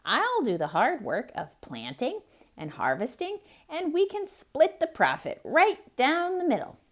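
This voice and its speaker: A woman doing a clever, scheming hare, high and eager. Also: huge pitch swings, measured pace, exaggerated voice.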